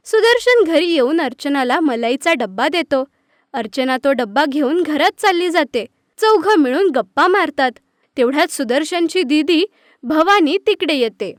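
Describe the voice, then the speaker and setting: High-pitched woman narrating a story. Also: no echo, studio quality. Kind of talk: scripted children's story narration.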